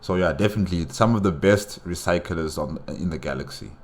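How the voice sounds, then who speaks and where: A man hosting a reaction video with casual, halting, repetitive commentary, often dropping in "you know". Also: low voice.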